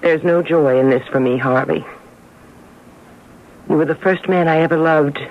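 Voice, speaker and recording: A woman playing a sardonic middle-aged ex-wife in a radio drama, speaking in an articulate, measured way. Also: deep voice. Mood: wounded, firm. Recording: dull old radio recording, faint noise.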